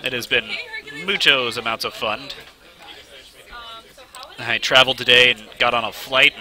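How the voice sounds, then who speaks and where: A man giving live commentary while playing a game, excitable and talking to himself, with a slight cold and sniffling. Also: medium pitch, measured pace. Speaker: game streamer. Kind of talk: live let's play commentary. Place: game studio event.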